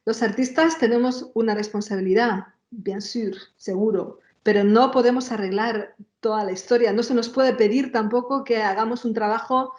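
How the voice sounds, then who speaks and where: A woman's voice: on the high side, steady tone, moderate pace, hardly any pauses, darkish tone, dull audio. A woman in her sixties with a Madrid Spanish accent, chatting casually as an interview guest and often tagging her phrases with little questions. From Madrid, Spain. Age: 60s. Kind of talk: casual conversation. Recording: roomy echo on voice.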